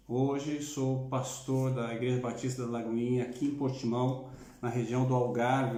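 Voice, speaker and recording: A man's voice: mid-range voice, warm tone, echoey room. A man from Curitiba with a southern Brazilian accent, speaking as a pastor in an informal, conversational livestream talk, often adding tag questions. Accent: southern Brazilian Portuguese.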